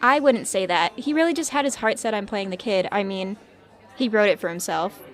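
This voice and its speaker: A woman's voice: mid-range voice, fairly quick, lightly acted. A woman playing a tactful assistant in an audio drama, speaking in a diplomatic, reassuring way.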